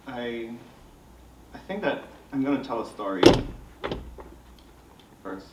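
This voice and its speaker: A man's voice: mid-range voice, lively intonation, takes it slowly. A man with a Bahamian accent speaking as an artist on a panel, telling personal stories reflectively and often checking in with "right?". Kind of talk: personal storytelling to an audience. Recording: roomy, distant mic, faint noise.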